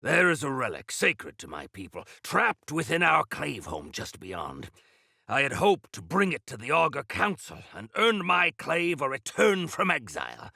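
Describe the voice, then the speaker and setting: An actor playing a proud, stalwart older leader of mountain folk in a video game, with a rough gravelly voice and theatrical, old-fashioned formal phrasing. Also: male voice, fairly high pitch, wildly swooping pitch, a little slow, pushed and bright, no room sound, clean studio sound, full character voice. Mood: grave, urgent briefing. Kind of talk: video game character acting.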